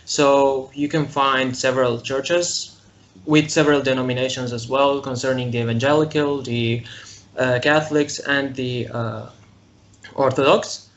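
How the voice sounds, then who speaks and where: A young man from Syria answers interview questions in a halting, careful way, pausing to find his words. His pitch sits in the ordinary middle range. The sound is muffled and lo-fi. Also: fairly even intonation, a bit bright. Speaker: interview guest. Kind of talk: casual interview. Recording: muffled lo-fi recording.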